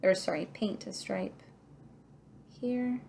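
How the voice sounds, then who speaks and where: Female kindergarten teacher, unhurried delivery, mid-range pitch, encouraging, thinks aloud while demonstrating a craft. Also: creaky, darkish tone, slight background noise. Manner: encouraging, thinking aloud, asks the listener questions. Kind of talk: children's craft lesson, talking through each step.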